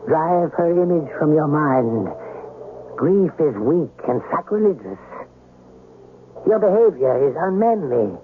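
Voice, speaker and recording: An actor playing a loyal servant in a 1970s radio drama, grave and formal, with a moralizing, declamatory manner. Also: male voice, fairly high pitch, very animated, takes it slowly, pauses often. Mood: stern rebuke. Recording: phone-like noisy vintage radio.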